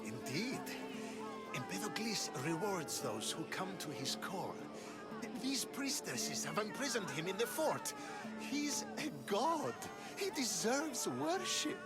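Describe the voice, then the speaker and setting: An actor playing a fervent ancient Greek cult follower in a video game, earnest and fawning with overblown devotion. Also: male voice, sits high, sing-song, takes it slowly, exaggerated voice. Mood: fervent zeal, then pleading. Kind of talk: dramatic video game dialogue. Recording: music behind the voice.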